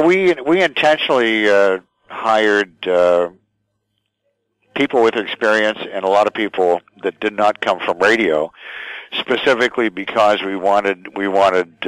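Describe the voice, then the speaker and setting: An older man answering interview questions thoughtfully and haltingly, often repeating words as he goes. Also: lowish voice, dry recording, rough recording. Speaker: radio station general manager, interview guest.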